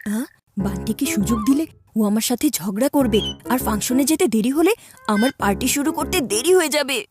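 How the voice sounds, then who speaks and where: A woman's voice: fairly high pitch, expressive, a little fast, music in the background, close to an everyday voice. A woman voicing a scheming, eager-to-please schoolboy in a children's cartoon, with a theatrical, wheedling delivery. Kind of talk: children's cartoon dub acting.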